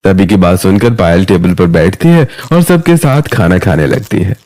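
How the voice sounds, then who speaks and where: Mellow-voiced male story narrator. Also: deep voice, lively intonation, moderate pace. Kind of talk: scripted story narration in present tense.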